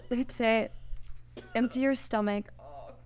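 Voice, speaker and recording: A woman acting a brash young rescuer in a table read. Her voice is high, and she talks bluntly in modern slang, exasperated and bossy. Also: steady tone, slow pace, a little mellow. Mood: exasperated, urgent. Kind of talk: live table read of a screenplay.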